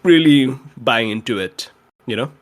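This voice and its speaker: A man chatting casually as a game player, deliberate and dryly humorous. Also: mid-range voice. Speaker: podcast player. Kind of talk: casual chat.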